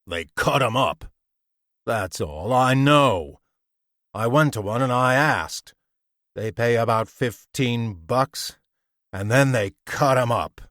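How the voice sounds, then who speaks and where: A man voicing a greedy, scheming rough laborer who talks in slangy, coaxing threats. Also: mid-range voice, lively intonation, slow pace, a bit bright, no echo, clean studio sound.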